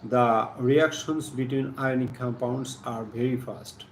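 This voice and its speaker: A man with a Bangladeshi accent giving a live chemistry lesson, partly reading aloud in a halting, deliberate manner, repeating phrases. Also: mid-range voice, fairly even intonation. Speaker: science teacher hosting a live stream. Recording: echoey room with faint background noise.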